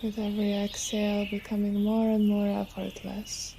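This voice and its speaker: A woman leading a guided relaxation meditation as a yoga nidra teacher, with soothing, unhurried, gentle instruction. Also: medium pitch, monotone, creaky, noisy background. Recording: nature ambience with birdsong behind. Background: birdsong and nature sounds.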